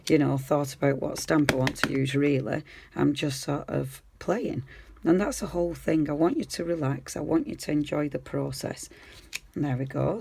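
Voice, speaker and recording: A woman with a croaky voice, chatting easily through a hands-on craft tutorial as she works. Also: low-pitched, a little mellow, a little hiss or hum. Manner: chatty, easygoing, talks while working. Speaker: craft demonstrator.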